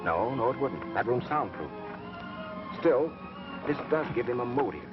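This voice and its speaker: An actor playing a brisk, keen young American amateur sleuth in 1930s film melodrama style. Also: male voice, mid-range voice, sing-song. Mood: reasoning. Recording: dull scratchy 1930s film soundtrack, music.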